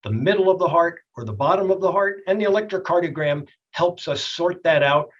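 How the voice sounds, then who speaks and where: A middle-aged or older man with a slightly husky voice, a doctor explaining things in a plainspoken, folksy way in a TV health interview. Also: fairly high pitch, animated, fairly quick, muffled recording. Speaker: doctor, expert guest.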